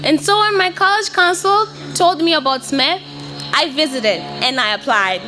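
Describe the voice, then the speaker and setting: A woman's voice: high voice, moderate pace, over music. A young woman in her twenties from Mozambique, whose first language is Portuguese, giving an earnest prepared speech to an audience. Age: 20s. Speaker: student speaker.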